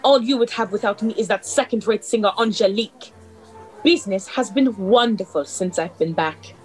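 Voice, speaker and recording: A woman's voice: medium pitch, moderate pace, faint music behind, close to an everyday voice. A woman playing a vain, haughty cabaret singer with a theatrical manner in a radio play. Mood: haughty, scornful. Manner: self-admiring, theatrical. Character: vain, haughty French cabaret diva, Monique. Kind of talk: hobby radio play acting.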